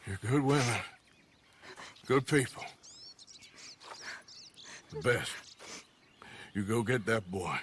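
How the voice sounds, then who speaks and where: An actor playing a weary, ill outlaw in a video game cutscene, speaking gravely and haltingly. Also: male voice, on the low side, animated, fairly bright tone, slight background noise. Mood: tender. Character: weary dying male outlaw, gruff and loyal.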